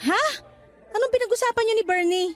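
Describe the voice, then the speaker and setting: An actress with a Filipino accent playing a hurt, guarded and sharp-tongued young single mother in a radio drama. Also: extremely high pitch, fairly quick. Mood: irritated, doubting. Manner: exasperated, sarcastic. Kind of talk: Tagalog radio drama acting. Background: faint background music.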